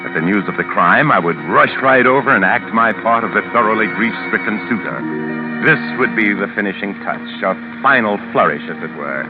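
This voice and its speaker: Male, actor as smug scheming attorney, very low voice, articulate radio crime narration, muffled vintage recording with music. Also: lively intonation, brisk, lightly acted. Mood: smug, calculating. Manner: pompous, self-satisfied, articulate. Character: smug, calculating male lawyer narrating his own murder scheme. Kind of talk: 1940s radio acting, first-person crime narration.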